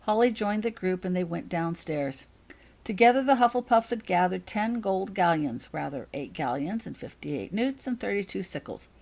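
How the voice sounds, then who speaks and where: A woman's voice: mid-range voice, animated, moderate pace, gravelly, a little mellow. A woman narrating a story as its author, in an audiobook reading style.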